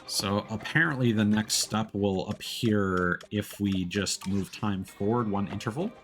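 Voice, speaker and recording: A man with a fairly low voice chats casually as he streams a game. He rambles a little and hesitates as he goes, with faint game music behind him. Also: a little slow. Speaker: live streamer. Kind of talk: casual gameplay commentary, reading game dialogue aloud.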